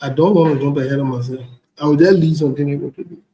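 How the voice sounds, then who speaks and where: A West African man with a fairly high voice rants about politics in an echoey room. He speaks bluntly and indignantly, hammering phrases through repetition. The delivery is unscripted, like an online commentator. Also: quick pace, dark tone, slight background noise. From Ivory Coast.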